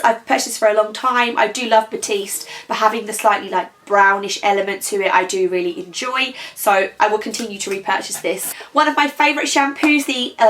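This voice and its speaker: A young woman with a slightly croaky voice, chatting casually through a beauty product review and rambling with frequent ums. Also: on the high side, a bit bright. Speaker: beauty vlogger. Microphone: camera.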